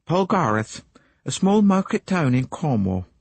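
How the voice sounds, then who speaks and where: A man voicing an anxious, fretful middle-aged wife in an audiobook, gossipy and quick to take offence. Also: fairly high pitch, sing-song, vocal fry, slightly rough, no room sound, pitched above the performer's own voice, heavily acted. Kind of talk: audiobook character voice.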